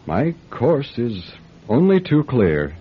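A man's voice: mid-range voice, huge pitch swings, slow pace, slightly rough, exaggerated voice. A man acting in a radio drama as a courteous, formal and hesitant bus driver to the afterlife. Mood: apologetic, authoritative. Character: polite, apologetic bus driver ferrying passengers to the afterlife. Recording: dull, noisy old radio drama recording.

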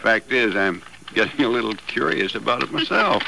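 A man's voice: mid-range voice, expressive, vocal fry, noisy background. An actor playing a stubborn, folksy old foster father who stalls and teases in an old-time radio soap. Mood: playful teasing. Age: older. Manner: slow, stalling, playful drawl. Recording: vintage radio drama recording.

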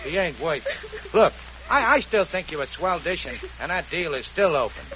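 An actor playing a brash gangster boss who talks in gruff tough-guy slang, in 1940s radio comedy style. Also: fairly high pitch, very animated, moderate pace, pushed and bright. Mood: coaxing. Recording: muffled noisy old radio recording.

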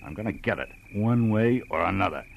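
Casting: oily American crook in a vintage radio thriller, deep, menacing, clipped, gravel-voiced. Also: male voice, lively intonation, fairly quick, lo-fi sound. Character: threatening oily small-time crook, bitter and tough. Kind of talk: 1940s American radio crime drama acting. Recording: vintage radio recording, faint noise.

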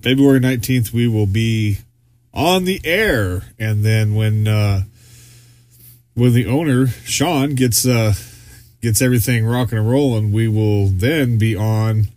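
A man's voice: lowish voice, a little slow, darkish tone. A man co-hosting a podcast in casual chat, rambling with lots of fillers and restarts. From Arizona, US. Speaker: podcast co-host.

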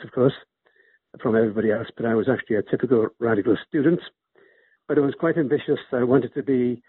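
Older man from Wales, emeritus professor type, husky voice, dry tinny call line, rambling answers. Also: medium pitch, dry recording. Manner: rambling, with restarts. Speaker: guest author. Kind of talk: interview over a call. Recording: muffled phone-like line, dry.

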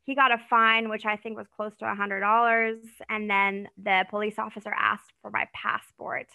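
A woman's voice: mid-range voice, little pitch movement, normal speed, gravelly, pushed and bright, dry recording. A woman from Iran, a podcast guest, chatting casually about travel with frequent "like"s and restarts. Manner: chatty, lots of likes and restarts.

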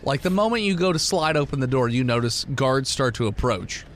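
A man's voice: mid-range voice, animated, fairly quick, slight background noise. A man running a tabletop game as game master, improvising narration in a casual, sweary way.

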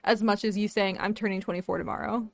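A woman's voice: mid-range voice, steady tone, fast, vocal fry. A woman in her twenties telling anecdotes in casual chat with a friend as a podcast co-host, informal and blunt. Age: 20s.

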